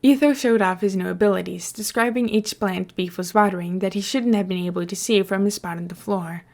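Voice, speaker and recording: A woman's voice: mid-range voice, a little fast, creaky, a little mellow. A woman reading and talking as a podfic narrator, in an informal storytelling style. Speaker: podfic reader. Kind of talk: story narration and casual commentary.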